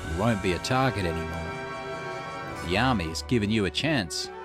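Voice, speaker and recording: A man voicing a calm, reasonable investigator in a visual novel, speaking in a measured, polite and earnest way. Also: low voice, over music, voice pushed down.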